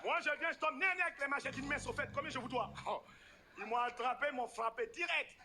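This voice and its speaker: A man doing animated, exclamatory stand-up comedy, teasing a live audience from the stage. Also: very high voice, quick pace, music in the background. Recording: noisy live stage recording with ambience.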